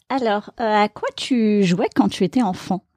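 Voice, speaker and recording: Calm woman podcast host. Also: mid-range voice. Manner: measured, calm reading. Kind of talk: scripted intro reading, then casual interview.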